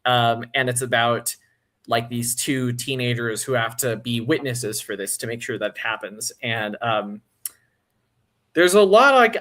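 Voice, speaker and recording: A man hosting a casual film livestream, talking in a halting, laid-back way full of restarts. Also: on the high side, fairly bright tone. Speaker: podcast host.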